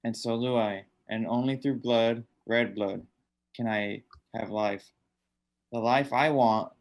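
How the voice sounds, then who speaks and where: A man's voice: medium pitch, fairly even intonation, slow, creaky. An actor playing a sinister undead old man in a radio drama, coaxing and menacing with insistent, repeated commands.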